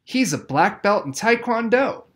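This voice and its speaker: A man's voice: high voice, sing-song, unhurried, fairly bright tone, pitched above the performer's own voice, exaggerated voice. Guy doing a cocky schoolboy in a storybook, sore and complaining, hits his words hard. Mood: indignant. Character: boastful, aggrieved schoolboy prankster.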